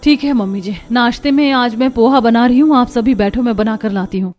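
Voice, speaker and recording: Cartoon young daughter-in-law, played by a woman: overworked, exasperated, indignant complainer, highish pitch. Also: brisk, a little mellow, lightly acted. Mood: dutiful. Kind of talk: Hindi cartoon story dialogue.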